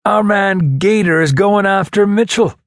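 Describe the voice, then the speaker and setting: A man's voice: high voice, measured pace, no room sound, clean studio sound, pitched above the performer's own voice. A man voicing a cheeky, teasing young Navy SEAL with an Irish accent in an audiobook.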